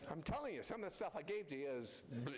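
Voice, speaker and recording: A man's voice: on the high side, sing-song, fast, a loud crowd. A man speaking as a live storyteller, folksy and emphatic, often correcting himself. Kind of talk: live storytelling with audience quiz. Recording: noisy live event recording.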